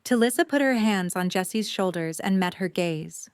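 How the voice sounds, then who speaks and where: A woman's voice: medium pitch, expressive, normal speed, smooth, no echo, clean studio sound. A woman reading scripted third-person story narration for an audio drama.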